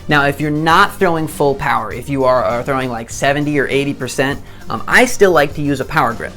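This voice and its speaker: A young man in his twenties with a hoarse voice after a day of shouting explains technique to camera in a chatty, self-deprecating way. He often stumbles and restarts, his pitch sitting mid-range, and faint music plays behind him. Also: a little fast. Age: 20s. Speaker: disc golf instructor. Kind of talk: casual tutorial to camera.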